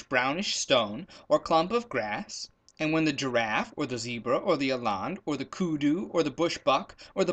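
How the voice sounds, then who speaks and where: A male storyteller reads a children's tale with great expression. His voice is bright and a little high, swooping playfully through rhythmic lists of words.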